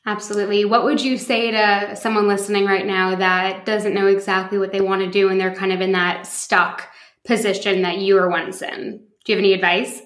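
A woman's voice: mid-range voice, little pitch movement, brisk, fairly bright tone, roomy sound. A woman hosting a podcast, interviewing a guest in an upbeat, encouraging way. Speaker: podcast host.

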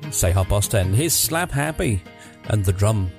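A man presenting a Saturday morning music radio show in a chatty, jokey announcer manner, his voice a little croaky. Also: on the low side, animated, a little slow. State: slightly croaky voice. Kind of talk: breezy music radio links. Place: radio studio. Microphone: studio microphone.

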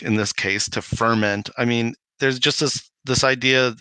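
A man's voice: lowish voice, bright, forceful tone, no echo, rough recording. A man, a podcast guest, talking casually and anecdotally, rambling as he retells things and acts out bits of dialogue. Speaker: podcast guest, homebrewing video maker. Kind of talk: casual anecdotal interview talk.

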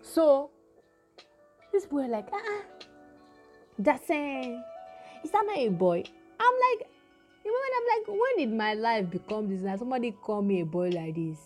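A young woman with a Nigerian accent and a naturally deep voice chats casually. She tells a story and acts out the quoted lines of the people in it. Faint music plays underneath. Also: measured pace, long pauses. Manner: animated storytelling with quoted dialogue. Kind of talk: casual chat.

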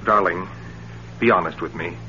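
Affable but tense American husband, forceful bright voice, old muffled radio-play sound. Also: male voice, sits low, moderate pace, slight background noise. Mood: uneasy, probing. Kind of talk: 1940s radio acting. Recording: muffled, humming 1940s radio recording.

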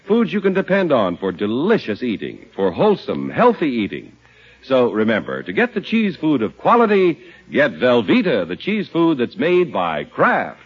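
A muffled old 1950s radio recording of an American male announcer. His scripted delivery is extremely animated in pitch, with a slightly husky tone. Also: moderate pace. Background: faint music.

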